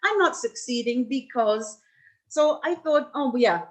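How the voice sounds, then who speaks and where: Middle-aged woman from Lebanon, workshop speaker, thoughtful and halting delivery, slightly high voice. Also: animated. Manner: reflective, hesitant, with frequent restarts and fillers. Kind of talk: personal talk to a workshop.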